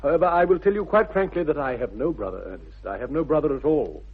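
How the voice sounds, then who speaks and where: An actor playing a pompous, earnest upper-class English gentleman in a 1960s radio comedy, husky, formal and emphatic. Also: on the high side, very animated, fast talker, full character voice. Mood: solemn, reluctant. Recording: tinny, phone-like old radio recording.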